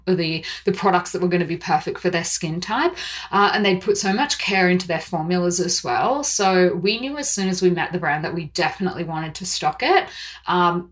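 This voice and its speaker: A young adult woman hosting a solo podcast, upbeat and chatty, with fillers and small laughs. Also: on the low side. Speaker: podcast host. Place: Seoul, South Korea.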